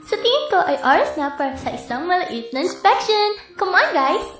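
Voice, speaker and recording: Bossy animatronic clown girl, female performer: gushing, theatrical, very high, roomy recording with faint music. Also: big pitch swings, normal speed. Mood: eager, bossy. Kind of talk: Tagalog fan-dub cartoon acting.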